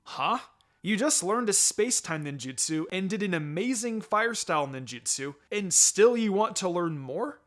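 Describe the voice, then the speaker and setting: A man voicing a proud, gentle, doting ninja father in a story reading. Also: sits high, animated, moderate pace, voice pushed up. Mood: surprised, indulgent.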